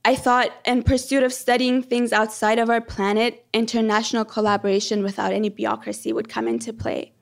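A woman's voice: fairly high pitch, level tone, a little fast, vocal fry, few pauses. Earnest young woman telling a personal story. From Iran. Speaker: storyteller, PhD candidate. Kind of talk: first-person stage storytelling.

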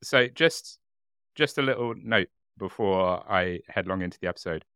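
Bright-voiced giggly man podcast host. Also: low voice, moderate pace, smooth, no room sound, studio quality. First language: English. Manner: giggly, self-deprecating, reads narration. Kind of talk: casual chat and reading a case.

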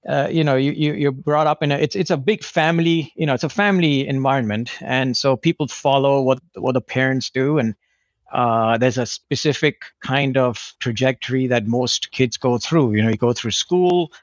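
A man's voice: medium pitch, normal speed, no room sound. A man from India in his thirties, answering interview questions in a hesitant way with many restarts. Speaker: guest.

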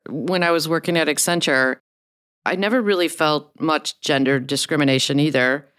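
A woman's voice: low-pitched, normal speed, fairly bright tone. A woman hosting an interview, friendly and chatty, sharing her own experiences between questions. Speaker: interview host. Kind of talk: conversational interviewing.

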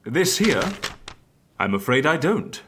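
A woman's voice: extremely low pitch, sing-song, slow pace, fairly bright tone, slight background noise, exaggerated voice. A woman playing a sceptical, exasperated agency interviewer in an audio comedy, with a dry, questioning and impatient manner. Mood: puzzled, impatient. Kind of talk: scripted audio comedy drama.

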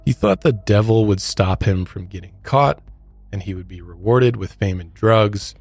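A man with a low-pitched voice, recorded close and dry with hardly any room sound. He talks as a podcast host, part reading out an account and part chatting it through. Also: normal speed, a little mellow, breathy edge, no echo, faint music behind. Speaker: true crime podcast host. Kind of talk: recounting a case, part scripted narration, part casual discussion.

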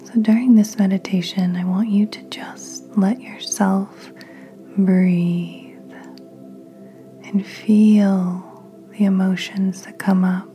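A woman podcast host leading a guided meditation in a gentle, soothing, breathy near-whisper. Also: medium pitch, steady tone, slow pace. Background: soft music.

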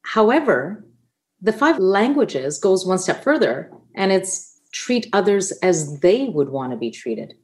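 A woman's voice: mid-range voice, very animated, unhurried, darkish tone. A woman from Canada whose first language is Italian, guesting on a business podcast and explaining her ideas through anecdotes. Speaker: guest expert. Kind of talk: podcast interview.

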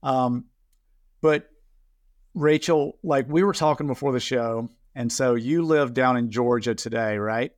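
A man's voice: medium pitch, a little slow, no echo. Casual male podcast host, close dry sound. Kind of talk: casual conversational interview.

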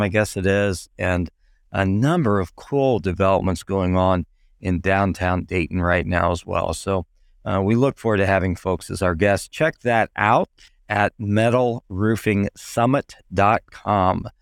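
A middle-aged man with a hoarse, raspy voice, hosting a casual podcast chat with many fillers. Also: lowish voice, no echo, clean studio sound. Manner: friendly, rambling with many fillers. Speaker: podcast host.

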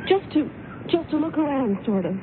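A wistful, sweet young woman in a 1970s radio drama. Her voice sits fairly high, and she speaks with timid, earnest politeness. The old broadcast sounds phone-like, with clear noise. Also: animated, normal speed. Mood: shy, polite.

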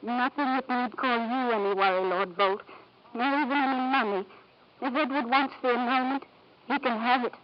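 A woman's voice: fairly high pitch, moderate pace, close to an everyday voice. An actress playing an anxious but proud young woman in an old-time radio drama, speaking hesitantly and deferentially. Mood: quietly proud. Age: young adult. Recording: dull, noisy 1940s-50s radio recording.

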